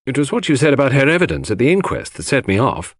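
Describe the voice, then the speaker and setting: A man voicing an earnest, puzzled gentleman, a detective's trusting friend, with an eager, questioning manner. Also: mid-range voice, sing-song, fast talker, no room sound, clean studio sound, voice pushed up. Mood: mildly reproachful.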